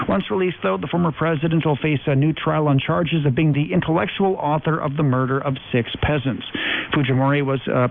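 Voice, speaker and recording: A man's voice: mid-range voice, gravelly. A man reading scripted news as an anchor. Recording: narrowband shortwave radio with light noise.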